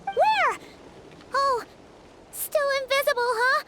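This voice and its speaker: A woman voicing a tiny, excitable, chatty fairy companion with a squeaky childlike voice in a video game. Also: takes it slowly, noisy background, heavily acted. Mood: worried, puzzled. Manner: refers to self in third person, expressive. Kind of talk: video game character acting. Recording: loud music behind. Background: loud game music.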